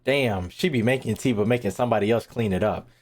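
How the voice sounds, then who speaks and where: A man's voice: on the low side, fast. A man doing casual streamer reaction commentary, with slangy, profane and incredulous asides. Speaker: streamer reacting to game lore.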